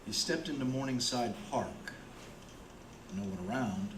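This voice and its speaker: A man's voice: medium pitch, measured pace, background noise, close to an everyday voice. A man acting as the plain, grim storyteller of a radio play, reading scripted narration. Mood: grim, measured. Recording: roomy, with music behind.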